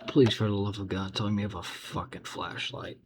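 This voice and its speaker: A man's voice: lowish voice, little pitch movement, fairly quick, very noisy. A man giving casual, sarcastic, exasperated reaction commentary on horror videos, swearing freely.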